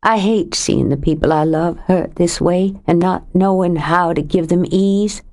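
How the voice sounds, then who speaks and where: A woman voicing a steady, plainspoken woman who talks calmly and firmly in an audiobook. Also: lowish voice, unhurried. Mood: earnest. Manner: calm and firm, gently blunt. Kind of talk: audiobook character reading.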